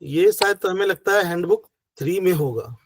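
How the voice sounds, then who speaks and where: A man with a high voice teaches over a video call, slowing down to count points step by step. His throat is sore and he fights a cough. The sound is dry and close. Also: no room sound. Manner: patient, counts numbers step by step. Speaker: acupressure teacher. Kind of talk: online class explanation.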